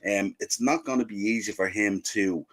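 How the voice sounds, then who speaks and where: A man with a sore throat, a guest on a casual football chat over a video call, relaxed and rambling. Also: lowish voice, normal speed. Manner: relaxed, rambling, says "and stuff like that". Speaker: panel guest.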